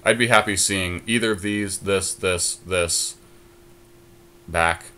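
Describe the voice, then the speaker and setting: Very low-voiced man mulling over picks. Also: fairly even intonation, slow, bright, forceful tone, clear, resonant tone. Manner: thinks aloud with many ums, weighing options. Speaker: podcast co-host. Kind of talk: casual card-draft discussion.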